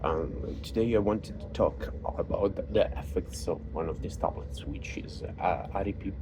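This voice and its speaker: A man with a very low voice and an Italian accent speaks in a halting personal monologue, full of fillers and restarts. Vehicle noise rumbles clearly behind him. He is a native Italian speaker talking in English. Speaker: vlogger. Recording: noisy background.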